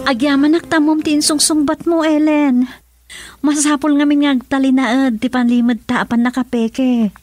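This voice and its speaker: A woman's voice: sits high, steady tone, normal speed, a little mellow, soft background music, lightly acted. An actress with an Ilocano accent playing a secretive, insistent young woman in a radio love drama. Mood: firm.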